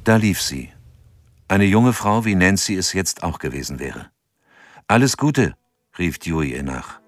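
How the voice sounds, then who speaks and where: An actor playing a narrator in a radio drama, reading scripted narration in a sober, measured and factual way. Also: male voice, low voice, lively intonation, no room sound, studio quality.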